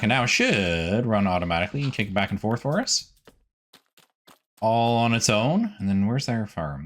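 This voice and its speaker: A man narrates his gameplay in a casual, chatty way. His voice sits on the low side and his pitch moves around a lot. He talks informally, as if thinking out loud while he plays. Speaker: gaming video host.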